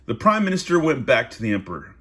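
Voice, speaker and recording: A man's voice: mid-range voice, big pitch swings, quick pace, sharp tone. A man reading a story aloud in a casual, amused way, breaking off into informal asides.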